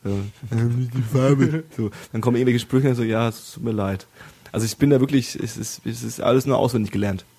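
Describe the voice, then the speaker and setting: A man's voice: mid-range voice, steady tone, fairly quick, dark tone, slightly rough. A man from Bavaria talking in a casual chat, rambling with many restarts and fillers.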